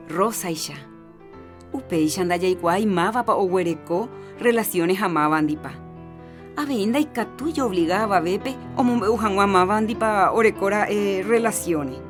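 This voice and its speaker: Calm woman radio host, music behind. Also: medium pitch. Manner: calm, explanatory. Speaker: radio host and health counselor. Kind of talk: scripted radio program and drama. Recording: radio program with background music.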